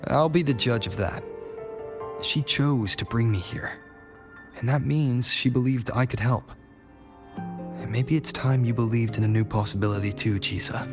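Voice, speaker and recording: An actor playing a steady, determined young male hero in a video game, calm and decisive. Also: mid-range voice, normal speed, over music, natural voice. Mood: resolute.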